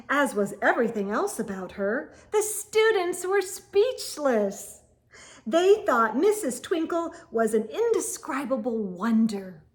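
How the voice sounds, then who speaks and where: A woman hosts storytime in a high, very animated voice. She narrates slowly and dramatically, shaping each phrase deliberately for young listeners. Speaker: storytime host and reader. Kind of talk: expressive children's read-aloud narration.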